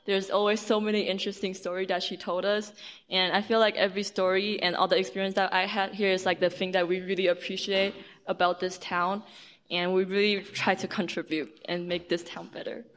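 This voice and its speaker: A young adult woman with a mid-pitched voice speaks informally as a student offering thanks. She goes haltingly, feeling for words and leaning often on "like". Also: level tone, a bit bright, a little hiss or hum. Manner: halting, heavy use of "like". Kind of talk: informal thank-you speech.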